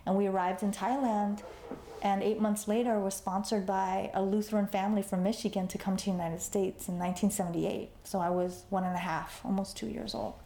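A woman in her forties, born in Vietnam, talks through her story in a loose, conversational way. Her voice is mellow and warm, and she keeps checking in with "you know" and "right?". The room around her sounds echoey. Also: mid-range voice, little pitch movement, brisk. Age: 40s. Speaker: artist guest. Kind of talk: conversational interview.

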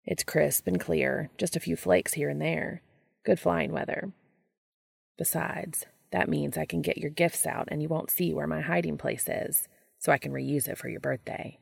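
A woman plays a calm, gently prodding boyfriend in a story reading. His voice is low and very creaky, with a matter-of-fact, dry humor. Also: moderate pace, no echo, full character voice. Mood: gently encouraging. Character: gently encouraging, dry-humored human man, Castiel.

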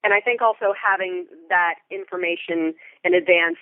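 An American woman being interviewed as a Foley artist, explaining things thoughtfully and in detail, with halting pauses. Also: fairly low pitch, no room sound, narrow, tinny audio. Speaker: guest, theatre Foley artist. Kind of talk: interview conversation.

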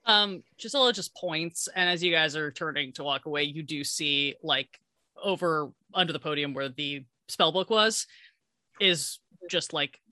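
Bright-voiced woman game master. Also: sits low, unhurried, no echo. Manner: chatty, hesitant, lots of "like" and "um". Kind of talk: narrating a live tabletop game.

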